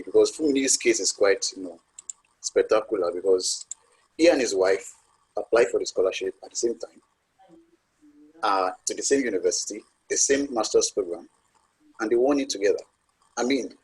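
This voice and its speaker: Male panel moderator, deep husky voice, rambling questions full of "you know". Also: fairly quick, pauses often, poor audio.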